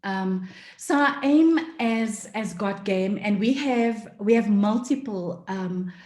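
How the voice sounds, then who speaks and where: A woman from South Africa giving an earnest talk at an online forum, often pausing with fillers. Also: mid-range voice, darkish tone, echoey room. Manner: earnest, many fillers, emotional at times. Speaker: forum speaker. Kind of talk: passionate talk. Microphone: video call.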